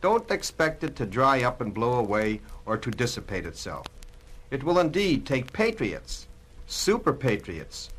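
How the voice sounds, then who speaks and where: Male narrator, animated intonation, medium pitch, emphatic, admonishing, oratorical, scripted 1960s documentary style. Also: measured pace, slight background noise, rough recording.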